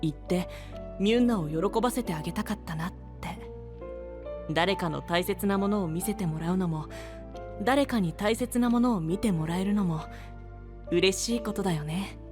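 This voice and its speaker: A gentle, androgynous boyish voice, performed by a man, composed and tender over background music. He sounds like a considerate young knight in an anime audio drama. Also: high-pitched, normal speed, lightly acted. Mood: tender, understanding. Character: gentle, soft-spoken boy knight, calm, considerate elder twin type. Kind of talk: anime-style voice drama acting.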